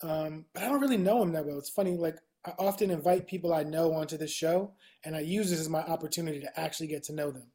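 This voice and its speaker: A woman's voice: low voice, fast talker, few pauses, warm tone. A woman hosting a talk show, chatting casually with her guests and often restarting her questions. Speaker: podcast host. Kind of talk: casual interview chat.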